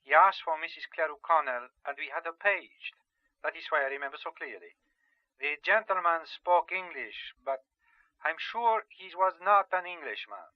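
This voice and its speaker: An actor playing a courteous, precise hotel receptionist in an audio drama, speaking formally over the telephone. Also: male voice, on the high side, expressive, moderate pace, no room sound. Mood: polite. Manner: polite, formal, careful. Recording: dull, band-limited telephone line.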